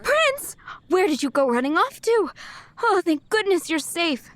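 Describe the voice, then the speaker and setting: A woman playing a kindly, doting female townsperson with an expressive manner in an anime-style game. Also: extremely high pitch, unhurried, exaggerated voice. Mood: relieved, doting. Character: doting, kindly female townsperson who adores her cat. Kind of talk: anime game character acting.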